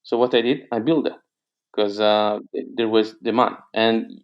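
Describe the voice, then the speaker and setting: A man's voice: fairly low pitch, flat delivery, normal speed, dull audio. A man whose first language is Italian, speaking with an Italian accent in a casual interview, hesitant with many fillers and restarts. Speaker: guest entrepreneur.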